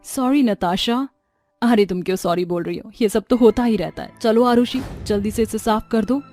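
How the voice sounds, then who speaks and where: A woman voicing a haughty middle-aged mother-in-law in a deliberate, theatrical cartoon style. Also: on the high side, warm tone, no echo, soft background music, close to an everyday voice. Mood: falsely sweet, bossy. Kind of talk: cartoon story dubbing.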